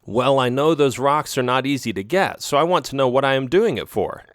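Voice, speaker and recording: A man's voice: medium pitch, animated, fairly quick, no echo, studio quality, close to an everyday voice. A man voicing a timid, worried young male cat asking nervous questions.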